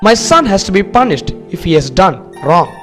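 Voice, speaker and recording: A woman voicing a clever male courtier who speaks calmly and politely. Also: on the low side, normal speed. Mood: protective. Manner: calm, polite, plainly spoken. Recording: music under the voice.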